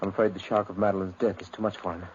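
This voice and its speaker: Steady, reasoning gentleman sweetheart, played by a man, lowish voice, reassuring radio-drama delivery on a muffled old recording. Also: slight background noise, close to an everyday voice. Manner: calm, reassuring, measured. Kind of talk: 1950s radio acting.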